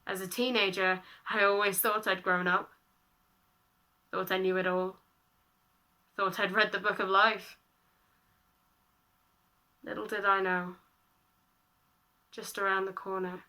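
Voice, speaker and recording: A woman in her late teens performing spoken-word poetry, with dramatic, deliberate phrasing and repetition. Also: mid-range voice, sharp tone. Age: late teens, about eighteen. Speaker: slam poet. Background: music.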